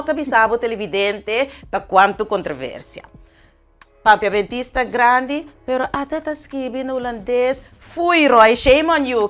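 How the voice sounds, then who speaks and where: A woman hosting a talk show, often pausing and searching for her words. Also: fairly high pitch. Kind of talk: studio talk show discussion.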